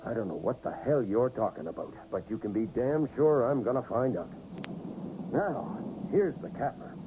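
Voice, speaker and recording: An actor playing a tough, skeptical police detective who questions curtly and bullyingly, in a 1980s radio drama style. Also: male voice, medium pitch, expressive, normal speed, warm tone, close to an everyday voice. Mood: hard, accusing. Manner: curt, bullying interrogation. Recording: dull, noisy old radio broadcast recording.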